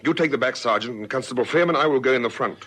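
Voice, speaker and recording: A South African man playing a steady, probing police lieutenant in a radio drama, with clipped authority. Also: medium pitch, racing, natural voice. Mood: calm, businesslike. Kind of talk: old radio drama acting. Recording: vintage radio recording, faint noise.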